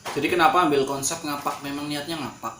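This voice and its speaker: Male co-host, relaxed joking chat, echoey room, mic a bit far. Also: a little high, fast, slight background noise. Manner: joking, asks questions, fillers. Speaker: podcast co-host. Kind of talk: casual chat in Indonesian with Javanese phrases.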